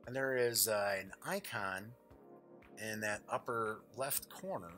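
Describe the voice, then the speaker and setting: A man's voice: on the low side, takes it slowly, sharp tone, faint music behind. A man hosting a game show, giving casual, off-the-cuff unboxing commentary in a chatty, jokey way, often muttering asides to himself. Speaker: host of a tabletop game review show.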